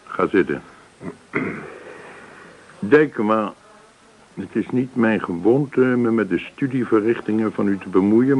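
A male actor playing a stern university professor in a radio play, formal and measured as he reproves. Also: mid-range voice, natural voice, low-quality recording.

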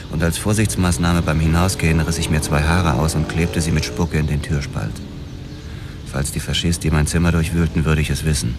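A hard-boiled police narrator and ex-boxer in a radio drama. His voice is extremely low, deadpan and world-weary. Loud music runs behind him.

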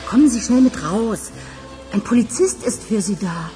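An actress as a lively, flustered woman messenger. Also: mid-range voice, moderate pace, soft background music, lightly acted. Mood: urgent. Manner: urgent, agitated. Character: flustered, earnest woman fetching a sanatorium guest. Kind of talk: German radio crime drama acting.

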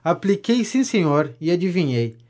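An actor playing a vain, self-important gentleman pamphleteer in a Brazilian audio drama comedy, declaiming pompously and flattering as he goes. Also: high-pitched, normal speed. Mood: self-satisfied, fawning. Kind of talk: audio drama of a 19th-century stage comedy.

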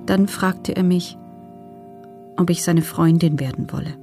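An actress playing a guarded female prisoner in a documentary radio play, recounting her testimony in a restrained, measured, sober way. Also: lowish voice, mellow, faint music behind, close to an everyday voice.

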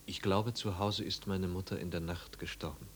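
Actor doing melancholy dreamy young guy, 1950s radio drama, low halting voice, eerily calm, bit of hum. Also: natural voice. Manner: halting, subdued, trance-like.

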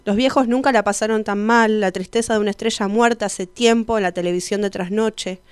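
Clear-voiced woman reciting poetry, dry studio sound. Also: medium pitch, steady tone, a little fast, no echo. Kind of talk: poetic reading of translated song lyrics.

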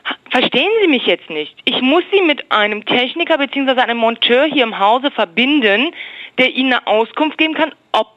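A woman's voice: high voice, lively intonation, fairly quick, no echo. A woman working as a switchboard secretary, explaining insistently over the telephone. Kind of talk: phone conversation. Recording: dull, dry telephone recording.

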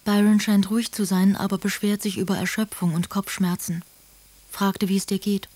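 A woman's voice: medium pitch, flat delivery, normal speed, darkish tone, no echo, natural voice. An actress playing a worried sister who confides her concern in letters in a radio drama. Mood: uneasy reporting. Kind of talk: German radio drama, letters read in character.

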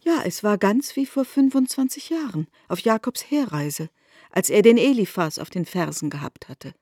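A woman delivering scripted literary narration for a radio drama, with a husky voice. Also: medium pitch, animated, normal speed, warm tone, dry recording.

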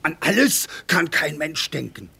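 A pompous army chaplain speaks in a fairly high, bright and forceful voice with a raspy edge. He holds forth in preachy, self-important rhetoric, in the manner of classic radio drama. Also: very animated, a little slow, full character voice. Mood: philosophizing, assured. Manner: rhetorical, declamatory. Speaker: actor in a radio play.

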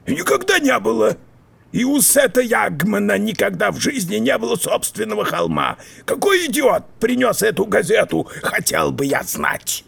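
Gravelly, stubborn old uncle. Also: male voice, high-pitched, very animated, normal speed, fairly bright tone, exaggerated voice. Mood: indignant. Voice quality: gravelly, raspy. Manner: grumbling, emphatic, indignant. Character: stubborn, irascible old uncle in small-town America. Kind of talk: audio drama acting.

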